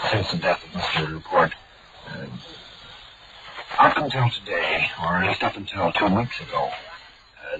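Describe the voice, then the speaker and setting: Deep-voiced radio interviewer, deliberate. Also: male voice. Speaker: studio interviewer. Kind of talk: broadcast interview questions. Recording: muffled hissy old shortwave broadcast.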